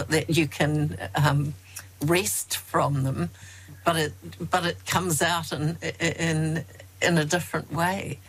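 A woman hosting an interview, asking slow, thoughtful questions with trailing phrasing. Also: deep voice, low-quality recording. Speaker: interview host.

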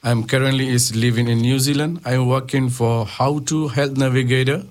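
A man from Myanmar answering radio interview questions as a guest, hesitant and halting. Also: mid-range voice, steady tone. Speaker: health navigator guest.

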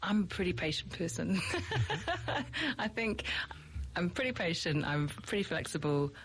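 A woman's voice: fairly low pitch, sing-song, unhurried, husky. A middle-aged British woman from the UK, a teacher, answering interview questions in a reflective, chatty way with many fillers and light laughs.

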